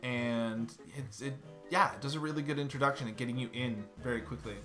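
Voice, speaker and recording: A man's voice: mid-range voice, steady tone, a little fast, pushed and bright, soft background music. A man in his thirties streaming a game from home, chatting loosely and swearing casually as he plays. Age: 30s. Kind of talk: casual let's-play commentary. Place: home room.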